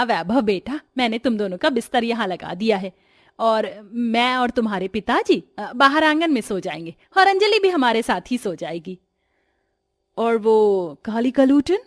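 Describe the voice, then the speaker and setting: A woman's voice: a little high, no room sound. Woman as cruel mother-in-law, cartoon, scolding, dismissive, bossy, dry close sound. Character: cruel, taunting middle-aged Indian mother-in-law.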